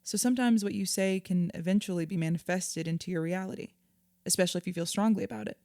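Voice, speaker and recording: Actress as therapist for audio drama: patient, probing, reassuring woman, warm mellow tone on the lower side, conversational. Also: no echo, studio quality, lightly acted. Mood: thoughtful. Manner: measured, reassuring.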